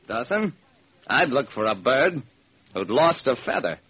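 A man's voice: mid-range voice, sing-song, unhurried, a bit bright, husky, full character voice. An actor playing a hardboiled American private detective who wryly narrates his own case in an old-time radio drama. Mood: wry, intent. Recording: noisy old radio recording.

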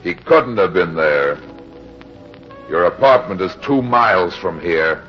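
Deep-voiced hard-boiled radio detective. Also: male voice, expressive. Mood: grave. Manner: terse, grave, deliberate. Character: weary, hard-boiled private detective narrating his case. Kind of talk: 1940s radio detective acting. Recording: dull old radio recording with music.

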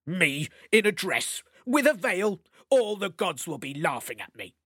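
A storyteller doing a booming, indignant thunder god. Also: very animated, a little slow, fairly bright tone, breathy edge, dry recording, clean studio sound, full character voice. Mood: sulky. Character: proud, hot-tempered thunder god Thor, male.

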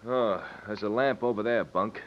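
An actor playing a bossy American swindler who leads a pair of crooks, in an old-time radio western. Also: male voice, on the low side, moderate pace, close to an everyday voice. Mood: brisk, businesslike. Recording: dull, noisy vintage radio recording.

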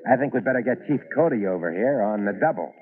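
A man's voice: lowish voice, animated, quick pace, close to an everyday voice. A man acting in an old-time radio drama as a folksy, cautious fireman sidekick. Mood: concerned. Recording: phone-like vintage radio recording, background commotion.

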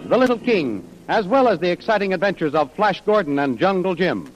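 Male 1930s American radio announcer, high voice, declamatory sales pitch, dull old broadcast sound with faint music. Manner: declamatory, promotional.

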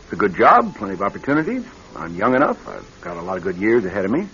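An actor in a radio drama playing a nervous young married executive troubled by bad dreams, speaking in a halting, earnest way. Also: male voice, fairly low pitch, huge pitch swings, pushed and bright, a little hiss or hum, full character voice. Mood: self-assured. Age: young adult. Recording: dull band-limited old radio recording.

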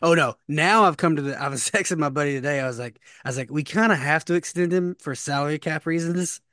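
A man's voice: on the high side, quick pace, bright, forceful tone, no echo, studio quality. A man co-hosting a casual sports podcast, rambling and repeating himself as he talks. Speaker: podcast co-host.

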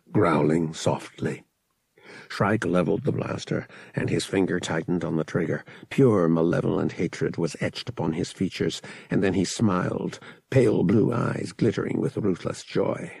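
A man reading an audiobook as a dramatic, suspenseful story with expressive delivery. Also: sits low, vocal fry, breathy edge, no echo. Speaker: audiobook narrator.

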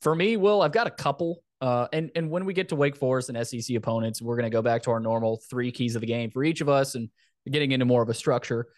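A man hosts a casual sports podcast, previewing football in a conversational style. His voice sits at a medium pitch, and the sound is dry with very little room in it. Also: fairly even intonation, fast, a little mellow, no echo.

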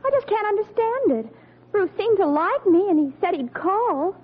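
A woman's voice: very high voice, sing-song, normal speed. A woman playing a lovesick, earnest teenage daughter in a 1940s radio comedy, wistful and melodramatic. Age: young adult. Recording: tinny vintage radio, studio audience behind.

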